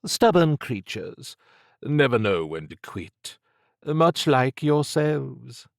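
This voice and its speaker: A man acts out an androgynous agent of the occult for an audiobook, with the voice lifted well above a low male register. The delivery is languid and purring. Every phrase is drawn out with urbane condescension. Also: sing-song, slow pace, gravelly, dry recording, clean studio sound, full character voice. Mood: wry, unruffled. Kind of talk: audiobook character acting.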